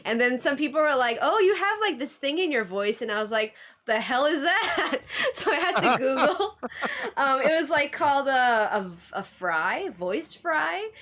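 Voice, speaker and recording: A woman's voice: sits high, big pitch swings, normal speed, few pauses, fairly bright tone. A woman hosting a casual chat, bubbly and giggly with lots of fillers. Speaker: host.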